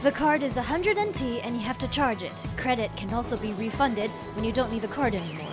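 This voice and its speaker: Woman, 30s, travel host; lively tour-guide read, loud music bed. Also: fairly high pitch, fairly quick. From United States. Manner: upbeat, chatty tour-guide delivery. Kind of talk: scripted travel narration. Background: loud background music.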